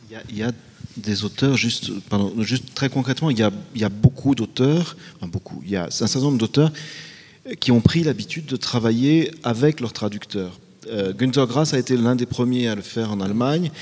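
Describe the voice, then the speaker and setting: A man at mid-range pitch speaks on a literary panel. He circles through hesitations and repeated words before making firm points. A long hall reverb trails behind every phrase. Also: a little fast, a little mellow, slightly rough. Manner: hesitant, many repeated words, then emphatic. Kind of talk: round-table discussion.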